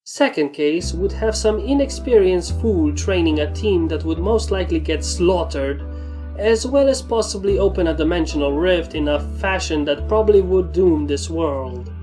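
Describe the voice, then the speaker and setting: A woman's voice: on the low side, expressive, moderate pace, warm tone. A woman narrating fan fiction as a reader and host. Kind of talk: story narration. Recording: music under the voice. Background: background music.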